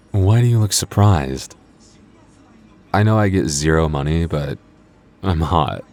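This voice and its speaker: A man acts out a cocky vampire coworker in an ASMR audio roleplay. His voice is extremely low, with a smug, flirtatious, dryly teasing delivery. Some background noise sits under the voice. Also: darkish tone. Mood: wry, cocky. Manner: smug, flirty, dry teasing with sighs and chuckles. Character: cocky, teasing, moody male vampire convenience-store coworker. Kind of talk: intimate ASMR roleplay acting.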